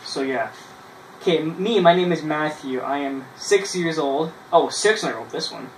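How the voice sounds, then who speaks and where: A man, casually reading his old writing aloud in a halting way, with self-mocking asides, in a small bedroom. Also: a little high. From Canada. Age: 20s. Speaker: vlogger. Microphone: camera a few feet away. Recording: roomy, distant mic with noise.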